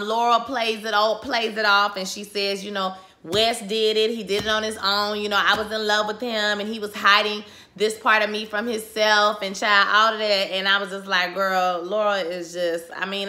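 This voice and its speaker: Chatty woman doing a show recap, going hoarse, bright voice, lotta slang. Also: medium pitch. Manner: chatty, slangy, animated retelling. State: losing her voice. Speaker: TV recap vlogger. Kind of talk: casual episode recap.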